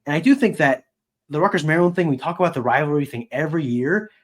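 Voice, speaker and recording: A man's voice: on the high side, expressive, quick pace. A man co-hosting a sports podcast, giving casual analysis.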